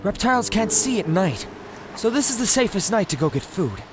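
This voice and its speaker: A woman's voice: mid-range voice, very animated, moderate pace, natural voice. A woman voicing a squeamish, impatient, bossy female forager of a reptile folk in a fantasy audio drama. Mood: assured. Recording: loud music bed, night insect sounds.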